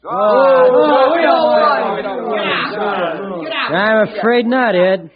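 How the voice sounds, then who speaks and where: Casting: boy gang boss, high and unhurried, blunt, firm yet fair, vintage radio drama. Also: over a noisy crowd. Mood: stern, rejecting. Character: boy gang leader Mike, firm but forgiving. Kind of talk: old-time radio drama acting. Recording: dull vintage radio recording.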